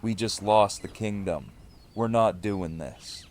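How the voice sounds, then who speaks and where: An actor playing a principled male leader of survivors in an audio drama, with a firm, deliberate delivery. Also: fairly low pitch, darkish tone. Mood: stern, insistent.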